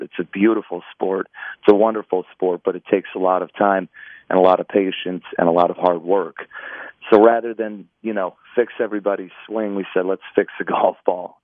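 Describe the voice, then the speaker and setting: A hoarse man with a raspy voice, a golf company co-founder talking as an interview guest, hesitant with many restarts. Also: sits low, dry recording. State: hoarse after three days of talking. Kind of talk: interview over a phone line. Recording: tinny dry phone line.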